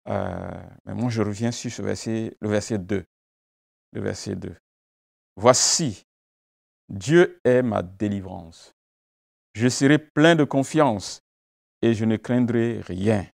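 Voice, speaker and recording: A man's voice: on the low side, vocal fry. A man, a pastor whose first language is not French, gives a devotional sermon and prayer with emphatic repetition and rhetorical questions. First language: a language other than French.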